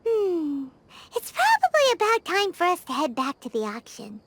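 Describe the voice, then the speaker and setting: A woman voicing a tiny, bubbly fairy girl companion in a game, who chatters excitably and talks about herself in the third person. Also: moderate pace, noisy background, exaggerated voice. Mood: chirpy. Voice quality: high, squeaky and excitable. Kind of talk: game cutscene voice acting.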